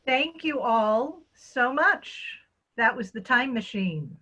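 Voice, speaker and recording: A woman's voice: on the high side, animated, slow, bright, forceful tone, slightly rough. A middle-aged woman, a theatre director, hosting an online event with introductions and thanks. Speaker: theatre company director and host.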